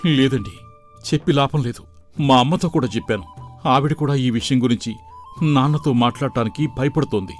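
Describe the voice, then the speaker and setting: A man's voice: medium pitch, fairly quick, faint music behind, natural voice. A man playing a lovelorn young son set on his marriage in a moral story cartoon. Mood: pleading.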